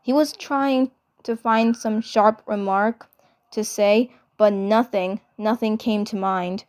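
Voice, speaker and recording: A woman's voice: mid-range voice, steady tone, slow pace, darkish tone. A woman storyteller narrating fan fiction, often repeating her phrases.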